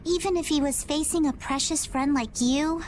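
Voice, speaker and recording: An actress playing a curious young girl pirate in a video game, asking gentle, probing questions. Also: high voice, normal speed, slight background noise, natural voice.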